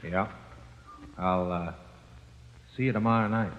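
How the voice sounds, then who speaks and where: Casting: resigned convict cellmate, unhurried low voice, understated, vintage radio drama, long hollow reverb. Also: male voice, fairly even intonation. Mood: grimly resigned. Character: weary, matter-of-fact male convict, grimly resigned cellmate. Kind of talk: 1940s radio drama acting. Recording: dull, echoing 1940s radio recording.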